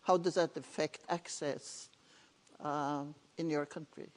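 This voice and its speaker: A woman with a Norwegian accent moderating a conference panel, asking questions in a measured, hesitant way. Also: deep voice, fairly even intonation. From Norway. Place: large conference hall. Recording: reverberant conference hall.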